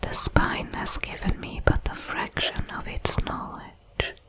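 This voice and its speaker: A woman voicing a curious, solemn alien creature, a shard of a hive mind, in a whispered, halting ASMR sci-fi roleplay. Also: lightly acted. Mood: solemn wonder. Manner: slow, deliberate, halting over its words.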